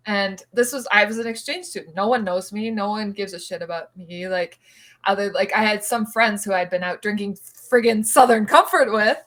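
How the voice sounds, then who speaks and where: A woman's voice: medium pitch, normal speed, fairly bright tone. Chatty woman telling a story. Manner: chatty, lots of "like" fillers. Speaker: podcast co-host. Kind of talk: casual storytelling chat.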